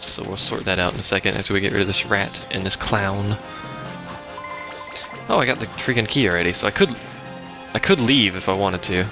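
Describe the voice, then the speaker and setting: Guy doing a lets play, kinda deep croaky voice, rambly n sarcastic, game music behind him. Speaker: let's play host. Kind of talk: casual gameplay commentary. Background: game music and sounds.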